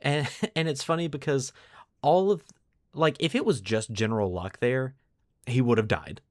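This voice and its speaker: A man's voice: medium pitch, normal speed, dry recording, clean studio sound. A man hosting a podcast, moving between storytelling narration and casual discussion. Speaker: podcast host.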